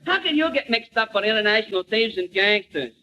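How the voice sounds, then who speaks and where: A man's voice: high voice, expressive, brisk, bright, forceful tone, lo-fi sound. A man playing a skeptical, exasperated suitor in an old radio sitcom, on a noisy vintage recording. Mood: incredulous. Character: skeptical, exasperated male suitor of the comic heroine. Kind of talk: old-time radio situation comedy acting.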